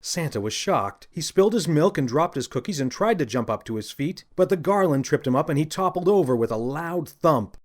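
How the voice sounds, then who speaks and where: A man narrating a scripted children's story in the first person, with an expressive, confiding storyteller manner. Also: fairly high pitch, a little fast, few pauses. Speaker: audiobook narrator and author.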